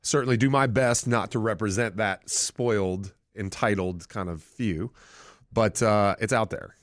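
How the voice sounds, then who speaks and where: A middle-aged man hosting a radio talk show in a studio, chatting casually with animated storytelling. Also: unhurried, dry recording. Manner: animated, lively storytelling with big pitch swings. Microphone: studio microphone.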